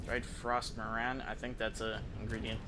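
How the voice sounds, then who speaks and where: A man's voice: lowish voice, monotone, normal speed, background noise. A man chatting casually and rambling through gameplay commentary as a streamer, conversational and prone to swearing. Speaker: video game streamer.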